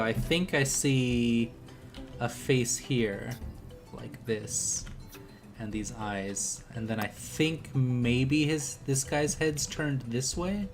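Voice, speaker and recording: Young male streamer and art tutor, casual and encouraging, music playing behind him. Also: medium pitch. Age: young adult. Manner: encouraging, informal. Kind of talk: casual live art critique with voice chat. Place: indoor home desk setup.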